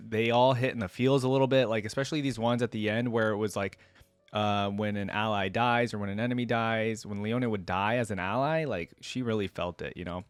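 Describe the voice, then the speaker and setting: A man's voice: fairly low pitch, fairly even intonation, fairly quick, clean tone, no room sound, faint music behind. A man giving casual, enthusiastic reaction commentary as a host, with slangy fan talk.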